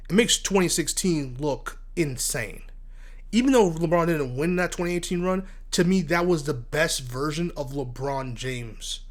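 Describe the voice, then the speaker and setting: A man hosting casual solo sports talk, congested from asthma and allergies, blunt and animated, stumbling and repeating words as he gets worked up. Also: fairly high pitch. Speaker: sports commentary host.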